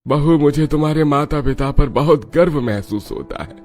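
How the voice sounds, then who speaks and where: A man's voice: fairly high pitch, normal speed, darkish tone, faint music behind, natural voice. A man voicing an affectionate, proud elderly father-in-law in a cartoon story. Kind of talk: Hindi moral cartoon story dialogue.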